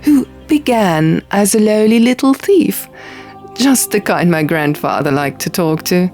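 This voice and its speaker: A merry young king voiced by a woman spins tales in a storybook reading, casual and a little tipsy. The delivery is slow and slightly gravelly, with soft music underneath. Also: mid-range voice, expressive. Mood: amused.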